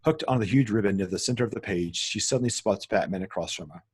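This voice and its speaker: A man serves as narrator for a screenplay table read, delivering scene headings and action lines. His voice sits fairly low and has a noticeably husky, rough edge. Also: fast talker. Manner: stumbles and corrects himself while reading. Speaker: narrator reading stage directions.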